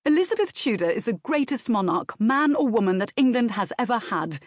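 An earnest young gentleman, voiced by a woman narrator, outspoken and emphatic. The pitch sits raised and the recording is dry, as in a historical audiobook. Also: lively intonation, moderate pace, no room sound, close to an everyday voice. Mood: vehement. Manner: vehement, emphatic. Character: earnest, outspoken young man, loyal brother.

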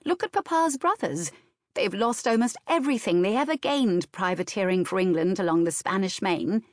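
A woman voicing a sensible, dry-witted elder sister in an audiobook, lecturing and gently reproving. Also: medium pitch, lively intonation, moderate pace, no room sound, lightly acted.

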